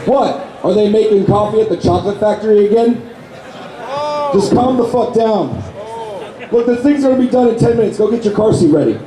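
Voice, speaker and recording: A man with a hoarse, worn voice, delivering brash, profane insult jokes at a live roast on a convention stage with a handheld mic. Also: high-pitched, a little fast. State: hoarse, lost his voice. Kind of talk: live roast comedy set. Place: convention stage before a crowd. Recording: echoey hall, loud crowd noise.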